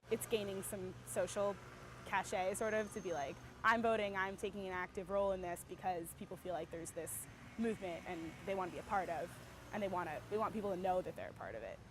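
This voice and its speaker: A woman's voice: medium pitch, fairly quick. Chatty young woman over traffic noise. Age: young adult. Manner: chatty, earnest, uses fillers like "like". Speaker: student voter. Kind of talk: casual street interview.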